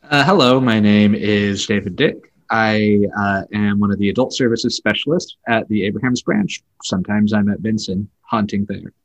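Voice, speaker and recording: A man's voice: on the low side, normal speed, hardly any pauses, muffled recording. A man co-hosting a casual podcast, speaking in a hesitant, conversational way. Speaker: podcast co-host, adult services librarian. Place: remote video call recording.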